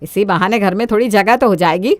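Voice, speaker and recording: A woman's voice: mid-range voice, a little fast, no echo, lightly acted. A woman voicing a greedy, domineering older mother-in-law in a cartoon moral story, scolding and brazen.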